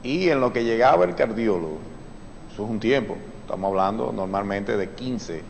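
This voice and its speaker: A man, a surgeon on a TV talk show, explaining patiently with many fillers and the odd clearing of his throat. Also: on the low side, expressive, moderate pace, long reverb tail, a little hiss or hum, low-quality recording. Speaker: surgeon guest. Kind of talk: explanatory TV interview.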